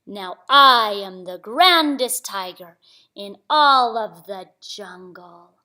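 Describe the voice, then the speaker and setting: Woman putting on a slow, boastful storybook tiger. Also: medium pitch, lively intonation, pushed and bright. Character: boastful, menacing grand male jungle tiger. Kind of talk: children's storybook reading.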